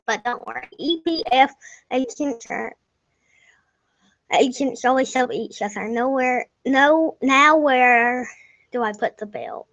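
A young woman talks slowly over her gameplay, her voice a little high and unhurried. She rambles and corrects herself, now and then sinking to a whisper. The home mic sounds dull and lo-fi. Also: fairly bright tone. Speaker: gameplay narrator. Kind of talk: casual game commentary. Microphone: desk microphone.

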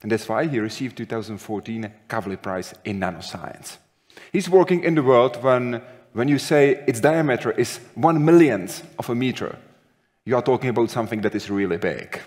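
A man's voice: medium pitch, animated, normal speed, darkish tone. A young man acting as moderator, introducing a speaker in a dramatic, rehearsed way in a large hall. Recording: long reverb of a large hall.

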